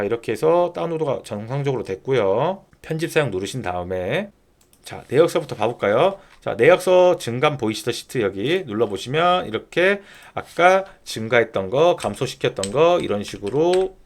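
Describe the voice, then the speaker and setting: A man narrating a software tutorial step by step in informal polite speech, often posing a question and then answering it himself. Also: mid-range voice. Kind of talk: screen-recording tutorial narration, explaining step by step.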